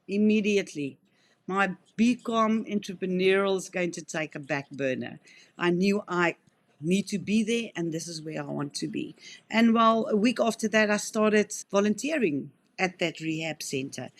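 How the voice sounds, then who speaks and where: A woman's voice: mid-range voice, expressive, measured pace. Earnest South African woman in her fifties. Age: 50s. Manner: earnest, talkative, frequent restarts. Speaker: wildlife rehabilitator. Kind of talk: conversational interview answers.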